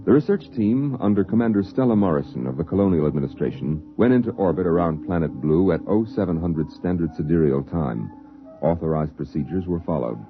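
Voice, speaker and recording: A man's voice: low voice, lively intonation, brisk. A man giving formal, official-sounding scripted narration for a 1950s radio science fiction drama. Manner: official, documentary-like. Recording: dull vintage 1950s radio recording. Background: dramatic music.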